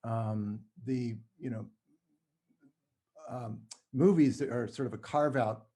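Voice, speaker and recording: A man speaking as an interview guest, explaining things thoughtfully and choosing his words with halting care. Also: mid-range voice, dark tone. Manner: halting, careful with word choice. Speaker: playwright and screenwriter guest.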